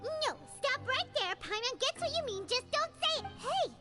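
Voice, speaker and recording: A woman's voice: extremely high pitch, lively intonation, normal speed. A woman voicing a tiny, chatty, dramatic fairy companion who talks about herself in third person, in game dialogue. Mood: baffled. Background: game music.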